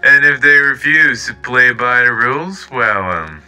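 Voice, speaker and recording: A smug, sly male demon with a bright, cutting tone, as a man acts him out. He drawls slowly and mocks his listeners theatrically. Faint music plays behind. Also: mid-range voice, lively intonation, clean tone. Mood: scheming. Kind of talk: fan comic dub.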